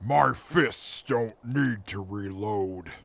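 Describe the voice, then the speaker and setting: A man playing a proud, menacing warrior in an audio drama, stern and haughty with a gravelly voice. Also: fairly low pitch, very slow, fairly bright tone, full character voice. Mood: stern, boastful. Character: proud, menacing male bare-fisted warrior. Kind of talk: post-apocalyptic audio drama acting.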